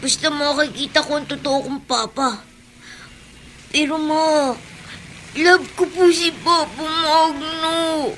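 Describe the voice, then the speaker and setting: A woman's voice: high-pitched, fairly even intonation, slow pace, fairly bright tone, slight background noise, low-quality recording. An actress playing a trusting, loving little girl in a radio drama. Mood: innocent, wistful.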